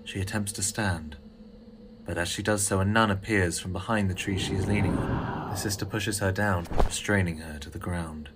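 A man's voice: low-pitched, steady tone, normal speed, noisy background. A man reading scripted narration for an audio drama.

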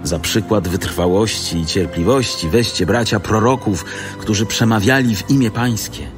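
A man's voice: fairly low pitch, normal speed, music in the background. A man giving a dramatic, exhorting reading of a scripture letter for an audio production.

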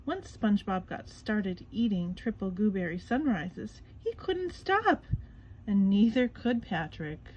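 A woman's voice: medium pitch, very animated, a little slow, muffled recording, a little hiss or hum. A woman narrating a scripted children's story as a reader, expressively and with dramatic emphasis.

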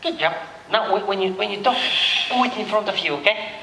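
A man's voice: high voice, brisk, vocal fry, a crowd in the background. A man in his thirties, a teacher quizzing students in a casual, jokey, encouraging way and repeating their answers back. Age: 30s. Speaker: English teacher and examiner. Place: indoor language-centre room. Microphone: headset. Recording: echoey classroom.

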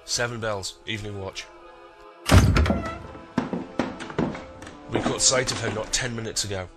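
An actor playing a formal, forthright naval officer in an audio drama. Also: male voice, fairly low pitch, steady tone, fairly quick, over loud music, lightly acted. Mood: concerned.

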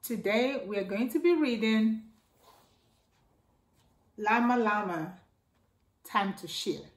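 A middle-aged woman reading a children's picture book aloud, slow and expressive, with her voice leaping up for exclamations. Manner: slow, expressive read-aloud, jumping high for exclamations and a toy's voice. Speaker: children's storytime reader. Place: living room by a staircase, indoors. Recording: echoey room.

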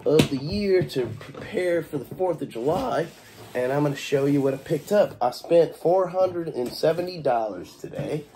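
A man's voice: fairly high pitch, mellow, slight background noise. A young man with a congested voice and a cough, casually showing off his haul in a small home kitchen and swearing freely. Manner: laid-back, swears freely, scolds his cat. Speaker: fireworks vlogger. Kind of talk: casual unboxing vlog.

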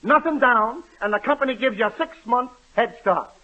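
Slangy sidekick salesman, real high voice, dull old radio. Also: animated, normal speed. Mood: wisecracking. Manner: slangy wisecracking. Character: tough-talking male car salesman sidekick. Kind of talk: 1930s radio comedy. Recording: dull noisy 1930s radio broadcast.

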